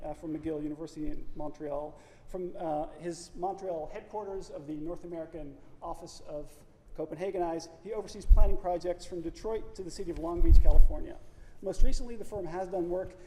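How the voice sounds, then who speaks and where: A man with a Dutch accent introducing a guest speaker in a lecture auditorium, measured and wry, with frequent ums. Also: a little high, mellow. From Netherlands. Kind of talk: formal speaker introduction with dry humor. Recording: reverberant hall, faint room noise.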